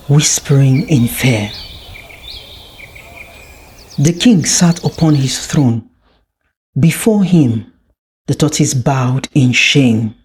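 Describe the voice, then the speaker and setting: Very slow, dramatic folktale storyteller. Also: mid-range voice, darkish tone. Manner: deliberate, with frequent dramatic pauses. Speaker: storyteller, narrator. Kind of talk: dramatic scripted folktale narration.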